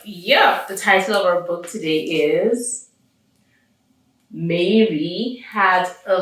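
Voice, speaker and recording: A woman hosting a children's read-aloud, expressive and animated, mixing storybook reading with chatty questions to young listeners. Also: lowish voice. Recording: very echoey room, distant mic.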